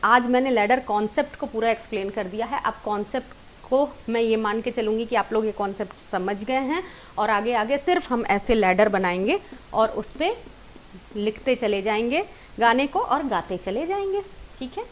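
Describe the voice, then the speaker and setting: A woman's voice: on the high side, expressive, normal speed, slight background noise. A woman with an Indian accent giving an informal singing lesson online, explaining directly to viewers and mixing in English terms. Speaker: singing teacher.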